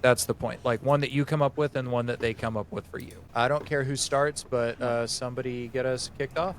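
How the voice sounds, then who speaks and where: GM type, twenties, mid-pitched voice, methodical and plain-spoken, a light hiss of room noise. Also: male voice, fairly even intonation, fairly quick. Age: 20s. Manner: organized, explains rules step by step. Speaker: game master. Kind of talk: running a tabletop session zero.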